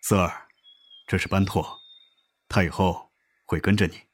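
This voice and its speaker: A man playing a calm, protective middle-aged royal father in an amateur Chinese costume audio drama, measured and reassuring. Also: deep voice, breathy edge.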